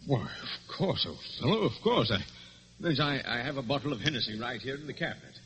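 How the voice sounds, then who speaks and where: An actor playing a stuffy, gullible middle-aged police inspector with old-fashioned phrasing, in old-time radio drama style. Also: male voice, medium pitch, animated, a little fast, fairly bright tone, close to an everyday voice. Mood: flustered, genial. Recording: dull, noisy vintage radio recording.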